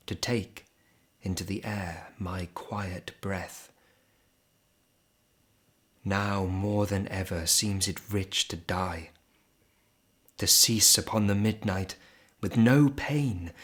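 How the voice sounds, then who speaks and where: A man giving a solemn, expressive poetry recitation in measured phrases with pauses between them. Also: fairly low pitch. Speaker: poetry reader.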